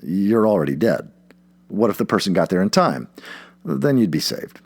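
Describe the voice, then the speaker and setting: A man reads an essay aloud in a rough, husky voice. His intonation swings widely as he stresses points with dramatic emphasis. The recording is dry and close, with little room sound. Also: fairly low pitch, sing-song, moderate pace, darkish tone, dry recording. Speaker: audiobook narrator.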